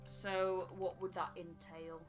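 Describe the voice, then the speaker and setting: A woman with a slightly low voice shares commentary on a game playthrough. She reads story text aloud and chats about it, with faint music playing behind her. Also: flat delivery, slow pace. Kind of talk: reading game dialogue aloud and chatting about it.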